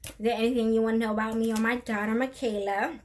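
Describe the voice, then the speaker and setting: A woman's voice: fairly high pitch, steady tone, quick pace, darkish tone, a little hiss or hum. A middle-aged woman co-hosting a casual live stream, chatty and laughing often as she tells stories.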